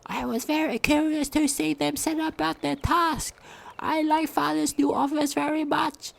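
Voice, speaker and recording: A woman's voice: sits high, flat delivery, a little slow, creaky. A woman reading a diary aloud during a game playthrough, sometimes stumbling over longer words. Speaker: game commentator.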